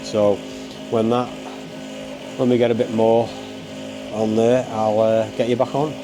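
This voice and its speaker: A man's voice: lowish voice, little pitch movement, a little slow, over music, low-quality recording. A man with a cold and a stuffy, bunged-up voice, hosting his hobby channel in a rambling casual how-to with lots of ums and uhs. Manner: rambling, full of ums and uhs, keeps saying guys.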